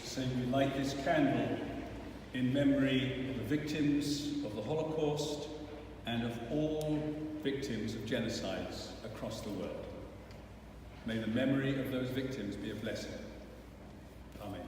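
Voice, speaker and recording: A man, a rabbi, saying a slow memorial prayer. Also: mid-range voice. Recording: echoey hall, mic far off, noisy.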